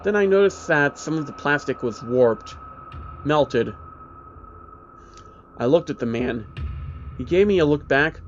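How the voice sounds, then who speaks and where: Guy narrating a creepy story, medium pitch, dull lo-fi sound with a bit of noise behind. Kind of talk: scripted horror story narration. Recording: dull lo-fi audio, faint background noise.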